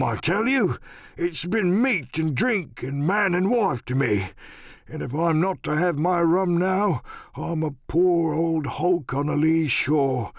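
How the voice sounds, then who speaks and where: Gravelly, cantankerous old captain. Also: male voice, on the high side, no room sound, studio quality, pitched above the performer's own voice, exaggerated voice. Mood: indignant, bitter. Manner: rambling, salty seafaring talk, cursing. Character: sick, rum-craving old pirate sea captain, cantankerous and pleading. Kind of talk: audiobook radio-play character acting.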